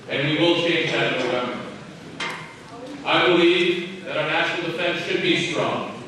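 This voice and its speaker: A man's voice: fairly high pitch, pushed and bright. An American man from Maine, a political candidate, delivers an earnest, plain-spoken campaign speech with emphatic, repeated phrasing. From Maine, United States. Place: indoor hall at a county caucus. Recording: echoey hall, distant mic, faint noise.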